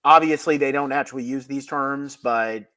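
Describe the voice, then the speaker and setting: A man's voice: mid-range voice, normal speed, bright, forceful tone. A man co-hosting a podcast, recapping a story in casual conversation. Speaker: podcast co-host recapping an episode. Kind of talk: casual chat, plot recap.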